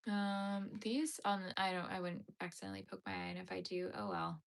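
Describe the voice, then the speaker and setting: A woman's voice: medium pitch, little pitch movement, fairly quick, creaky. A woman in her thirties chatting casually while she knits, rambling and full of fillers as she answers viewers. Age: 30s. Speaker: knitting channel host. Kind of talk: casual chat while knitting.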